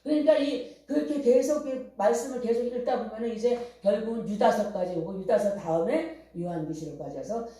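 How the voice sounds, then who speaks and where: A woman's voice: on the high side, brisk, hardly any pauses, dark tone. A middle-aged woman pastor preaching a revival sermon, telling stories and urging the congregation to repent. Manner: preaching with storytelling, fervent calls to repent. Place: church hall. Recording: very echoey hall, far from the mic.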